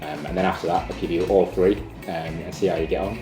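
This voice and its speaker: A man's voice: low voice, fairly even intonation, fast talker, roomy sound, music in the background. A man working as a flying instructor, explaining things conversationally in an interview.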